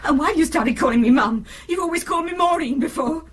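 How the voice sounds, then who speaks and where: A woman's voice: high-pitched, fast talker, natural voice. An actress with an English accent playing a distressed, defensive middle-aged wife and mother in a naturalistic radio drama, terse and prickly. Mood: defensive, hurt.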